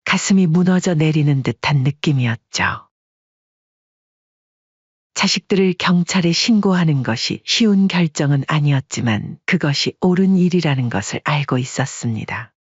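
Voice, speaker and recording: A man narrating a family drama story in an even, dramatic storytelling manner. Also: a little high, moderate pace, breathy edge, no room sound. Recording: dull lo-fi dry sound.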